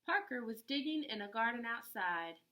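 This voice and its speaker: A woman reading a picture book aloud to children as an expressive storyteller, dramatic and full of emphatic pauses. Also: fairly high pitch.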